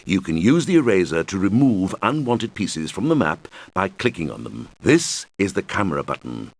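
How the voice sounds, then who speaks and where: A man's voice: low voice, big pitch swings, moderate pace, exaggerated voice. A man voicing a helpful video game guide who patiently explains things to young players in scripted instructional lines. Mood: patient, instructive. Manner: patient, clearly explanatory, addressing children. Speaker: game tutorial guide character.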